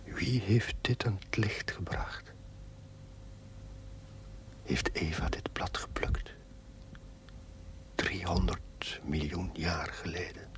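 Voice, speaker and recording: A man acting a brooding thinker in a television drama, musing to himself in a hushed, halting monologue. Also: low voice, in a whisper. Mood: pensive, questioning. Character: brooding, pondering man musing alone on mankind's origins.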